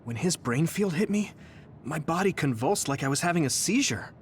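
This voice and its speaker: A man's voice: on the high side, animated, moderate pace, slight background noise, close to an everyday voice. An actor playing an earnest, determined young male soldier in a video game cutscene. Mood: grave. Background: music.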